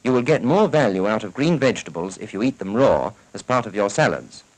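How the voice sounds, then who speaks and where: A man's voice: fairly low pitch, sing-song, fairly quick, poor audio. A man with a British accent giving a formal, instructive scripted narration in the style of a 1940s educational film, on a vintage soundtrack. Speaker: narrator of a public information film.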